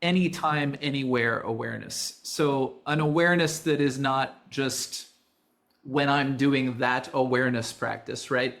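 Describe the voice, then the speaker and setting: Gravelly-voiced man thinking aloud. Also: mid-range voice, steady tone, a little slow, fairly bright tone. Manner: searching, repeats words. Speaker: podcast host and course creator. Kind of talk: casual podcast conversation.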